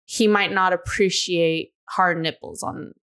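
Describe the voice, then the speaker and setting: Smooth-voiced woman in her twenties. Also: on the low side, fairly even intonation, fairly bright tone. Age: 20s. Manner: candid, confessional, drawn-out thoughts with frequent "you know". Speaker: podcast co-host. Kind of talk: casual podcast chat.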